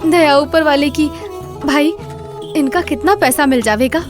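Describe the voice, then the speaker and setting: A woman voicing a devout, meek young village wife in an animated Hindi moral story, pleading and dutiful. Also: sits high, unhurried, over music, voice pushed up. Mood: grateful.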